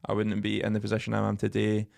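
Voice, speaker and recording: A man's voice: fairly low pitch, fast, creaky. A young man co-hosting a podcast, telling stories in casual chat with plenty of swearing and jokes. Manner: swearing, joking, animated storytelling. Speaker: podcast co-host.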